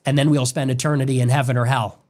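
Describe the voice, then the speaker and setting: A man's voice: mid-range voice, level tone, quick pace, darkish tone. A man giving a grave, preacher-like monologue on death and faith, played back from an original stream. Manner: grave, preacherly cadence with long lists. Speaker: political commentator.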